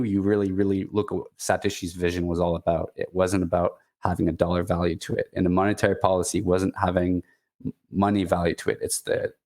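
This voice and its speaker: A man's voice: low voice, darkish tone. A man from Quebec with a Quebecois French accent, a native French speaker chatting casually as a guest, stopping and restarting often. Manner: halting, many restarts and fillers. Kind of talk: casual chat.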